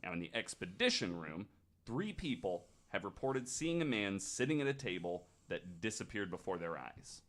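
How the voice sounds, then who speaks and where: A man from the United States hosting a podcast, telling stories in a casual, halting, conversational way. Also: on the low side, big pitch swings, fairly bright tone. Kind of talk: casual storytelling chat.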